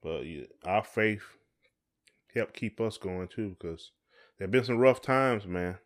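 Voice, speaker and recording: A man's voice: on the low side, expressive, unhurried. A middle-aged man chatting casually and colloquially as a podcast co-host. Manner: colloquial, conversational. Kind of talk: casual chat.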